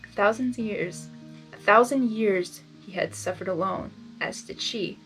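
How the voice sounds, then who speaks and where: A woman reading fan fiction aloud in expressive storybook narration, with informal asides. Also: medium pitch, measured pace, faint music behind, poor audio.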